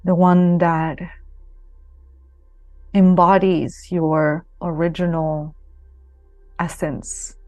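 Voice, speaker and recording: Slow, mellow woman guiding meditation. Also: on the low side, flat delivery, no room sound. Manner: soothing, unhurried, with long pauses. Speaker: yoga nidra guide. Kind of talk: guided meditation. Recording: close dry voice over soft music. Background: soft music.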